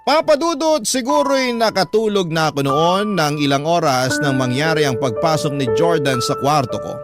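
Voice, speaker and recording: A man with a Filipino accent narrating a first-person letter story for radio, measured, with hesitant pauses. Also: on the high side, animated, music in the background.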